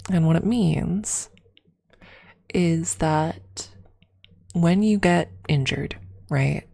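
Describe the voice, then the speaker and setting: Husky, low-pitched woman chatting in soft ASMR. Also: extremely slow. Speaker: ASMR streamer. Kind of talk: ASMR storytelling, casual chat.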